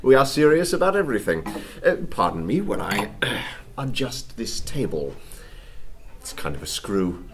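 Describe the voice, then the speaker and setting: An actor playing a fervent, gleeful anarchist poet in a radio play, with a theatrical, declamatory manner. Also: male voice, lowish voice, sing-song, normal speed. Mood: sly, amused. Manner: theatrical, declamatory, laughing zeal.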